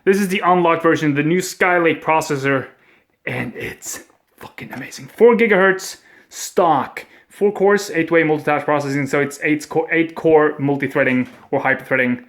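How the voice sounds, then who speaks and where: A young man with a Swedish accent, unboxing computer parts in a casual, excitable vlog at home, swearing and joking as he goes. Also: fairly high pitch, normal speed. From Sweden. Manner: excitable, swears a lot, jokey. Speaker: gaming vlogger. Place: at home indoors. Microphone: webcam.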